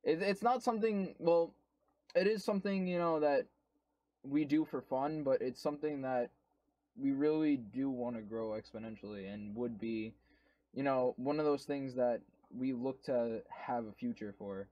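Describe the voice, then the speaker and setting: A man's voice: medium pitch, moderate pace, dark tone, no echo. A man co-hosting a casual podcast, rambling with lots of fillers and some swearing. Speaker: co-host. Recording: dull lo-fi sound.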